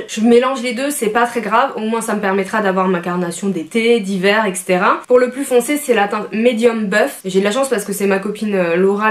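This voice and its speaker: A young adult woman with a French accent, chatting through a casual beauty product review and explaining herself in asides. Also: medium pitch. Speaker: beauty vlogger.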